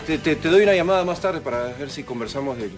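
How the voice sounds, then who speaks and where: A man's voice: on the high side, animated, fast talker, lightly acted. An actor with a Peruvian accent playing a mild, lovesick man in his forties, hesitant and deferential, in a TV comedy serial. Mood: hesitant, hopeful. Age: 40s. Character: mild, obliging, lovesick well-off friend. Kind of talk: telenovela comedy acting. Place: living-room TV set. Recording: background music under dialogue.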